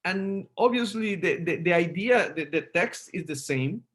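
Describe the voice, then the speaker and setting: Guy interviewing, stumbles and repeats a lot, kinda high voice. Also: animated, normal speed. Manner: stumbling, repeats words while searching for phrasing. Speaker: interviewer, host. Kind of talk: conversational interview.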